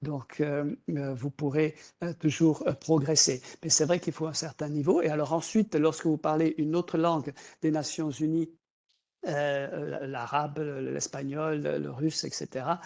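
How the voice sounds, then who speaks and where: Middle-aged male recruiter, native Portuguese speaker, gravelly with a slightly raised pitch, halting and full of fillers. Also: level tone, a little mellow. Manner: hesitant, many fillers and repeated words. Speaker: recruiter presenting job opportunities. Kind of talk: webinar presentation.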